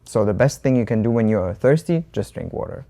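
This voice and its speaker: A man with a slight German accent and a mellow, darker tone. He talks in a relaxed, unhurried way, often hesitating and restarting his sentences with little fillers. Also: lowish voice, gravelly. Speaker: co-host and learner. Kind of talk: casual lesson chat.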